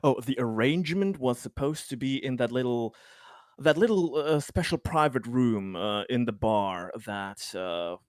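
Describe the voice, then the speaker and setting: A man talks through his moves in a tabletop game. His voice sits at an average pitch, his phrasing is casual and hesitant, and the sound is close and dry. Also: lively intonation, a little slow, dry recording. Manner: hesitant, stop-start phrasing.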